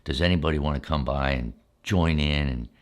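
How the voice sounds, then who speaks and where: An older man reminiscing in a relaxed, casual ASMR style, speaking in unhurried, halting phrases with folksy asides. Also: very deep voice. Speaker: ASMR storyteller.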